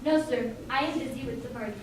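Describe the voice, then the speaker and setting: A woman's voice: a little high, fast talker, close to an everyday voice. Woman acting deferential servant mother, echoey and noisy. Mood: guarded. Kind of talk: amateur radio play acting. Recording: very echoey room, distant mic, clear background noise.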